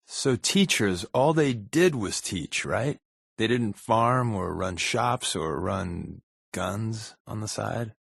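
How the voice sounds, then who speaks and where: An actor playing a wry, wisecracking undercover agent in a video game, with a sarcastic, deadpan, conversational delivery. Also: male voice, fairly low pitch, takes it slowly, creaky, breathy edge, no echo, clean studio sound, exaggerated voice. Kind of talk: video game companion dialogue.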